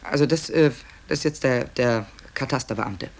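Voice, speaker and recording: A guy acting as an apologetic radio play narrator, informal, kinda hesitant, talking you through his tapes, mid pitch. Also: normal speed, a little hiss or hum, lightly acted. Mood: musing, apologetic. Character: author narrator presenting his tape recordings, apologetic man.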